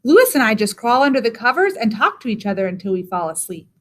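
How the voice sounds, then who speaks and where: A woman voicing a gentle male toy lamb who comforts the other toys, in a soothing, encouraging way. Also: a little high, very animated, quick pace, pitched below the performer's own voice. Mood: kind. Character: gentle kind toy lamb, boyish and reassuring.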